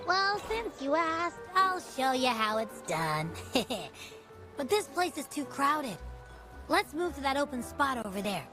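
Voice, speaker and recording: A high-pitched, excitable little creature, full of brag and appetite. The delivery swoops up and down like a cocky childlike game sidekick, with music playing behind. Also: female voice, measured pace. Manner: boastful, excitable. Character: small boastful, gluttonous echo creature buddy, childlike. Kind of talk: video game character acting. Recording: background music under the voice.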